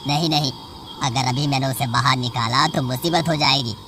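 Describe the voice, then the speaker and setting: A woman's voice: very deep voice, moderate pace, a bit bright, a little hiss or hum. A woman voicing an irritable, poor male snake charmer in a cartoon story, grumbling and blunt. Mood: muttering. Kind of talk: cartoon voice acting.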